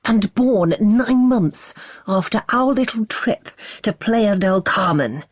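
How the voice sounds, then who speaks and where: Seething controlling man, low-voiced, slow menacing snarl, read by a woman narrator. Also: expressive, breathy edge, no room sound, clean studio sound. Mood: furious.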